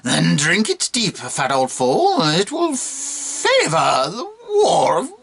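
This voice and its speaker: A scornful talking monkey, as acted out by a man, whining and taunting with theatrical relish. The pitch swoops up high and the delivery is bright and piercing, like a creature from a grown-up storybook poem. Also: huge pitch swings, slow pace, vocal fry, heavily acted. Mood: scornful, grandiose. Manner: taunting, theatrical, grandiloquent insults. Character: mocking, foul-mouthed, whining talking monkey. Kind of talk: dramatic poetry reading.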